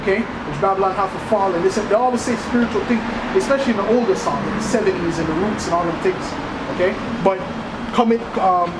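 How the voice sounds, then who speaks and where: A man with a fairly high-pitched voice explains scripture in an informal, insistent street-preacher style, often tagging his points to seek agreement. The sound is echoey, with very loud ambient noise around him. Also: fast. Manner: insistent, repeatedly seeking agreement with tags like right and okay. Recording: echoey, very noisy outdoor street recording.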